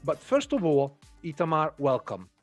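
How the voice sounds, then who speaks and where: A man's voice: a little high, huge pitch swings, normal speed, no echo, soft background music. A man hosting an interview podcast, introducing his guest formally with some hesitant fillers. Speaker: podcast host.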